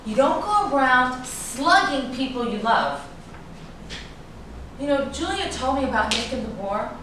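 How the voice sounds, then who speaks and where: Actress playing worn-down anxious woman, dramatic play reading, far from the mic, clear background noise. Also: high-pitched, expressive, a little slow. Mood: upset, fearful. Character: anxious, worn-down woman afraid of her volatile lover. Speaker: actor in a staged reading. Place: echoey indoor room at a staged reading. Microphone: distant microphone. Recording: distant echoey room, clearly noisy.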